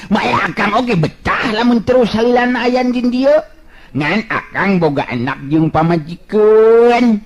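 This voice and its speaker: A man's voice: high-pitched, a little slow, dull audio, voice pushed up. A man voicing a cheerful, humble, teasing male folk hero in a storytelling, with a coaxing, chuckling way of talking. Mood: amused, frank.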